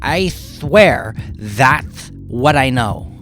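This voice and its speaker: A man voicing a flustered, defensive lowlife who stammers indignantly in an audio drama, with music behind him. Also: animated, very slow. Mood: defensive, frightened.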